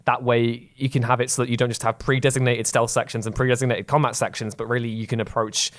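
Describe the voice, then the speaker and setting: A man with a raspy voice co-hosting a gaming podcast in casual chat, animated and acting out little quotes. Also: medium pitch. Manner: quick, animated, acts out quotes. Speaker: podcast co-host.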